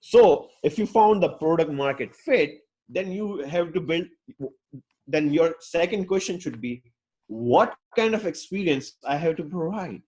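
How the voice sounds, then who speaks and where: A man's voice: a little high, animated, measured pace, a little mellow, breathy edge, rough recording. A man who learned English as a later language, speaking as a UX designer to an online audience in a rambling, outgoing way full of fillers and restarts. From Pakistan. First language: not English; English is his fourth language. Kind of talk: casual talk to an online audience.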